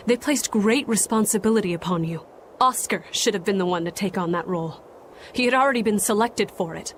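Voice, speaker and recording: A woman's voice: medium pitch, a little hiss or hum, close to an everyday voice. Woman voicing a stern protective noblewoman. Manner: cold, formal, measured. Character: stern young noblewoman exorcist, fiercely protective sister. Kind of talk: game voice acting.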